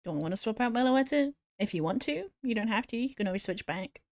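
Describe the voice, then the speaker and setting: A woman's voice: a little high, animated, fast, no room sound, clean studio sound. A woman streamer chatting casually and giggling as she answers viewers while she draws. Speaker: VTuber streamer.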